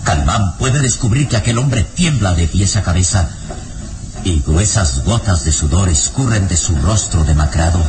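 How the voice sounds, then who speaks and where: A man's voice: sits low, expressive, moderate pace, noisy background. A man narrating a scripted radio serial in a theatrical, suspenseful manner. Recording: radio drama with loud music behind.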